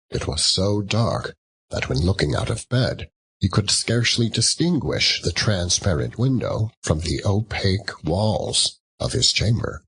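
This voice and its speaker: A man's voice: lowish voice, vocal fry, a bit bright, slightly rough. A man narrating a classic story as a dramatic audiobook reading.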